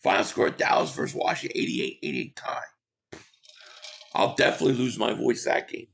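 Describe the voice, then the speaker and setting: A man hosting a sports talk livestream, hoarse and losing his voice, reading chat comments and giving rambling, opinionated takes. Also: medium pitch, brisk, a bit bright. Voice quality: hoarse, gravelly. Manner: rambling, opinionated, repeats himself.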